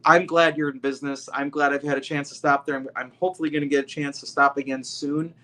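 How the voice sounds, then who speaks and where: A man's voice: on the high side, flat delivery, fast, sharp tone. A man hosting a podcast, a music educator, talking in a loose, rambling way with many restarts. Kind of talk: casual conversation.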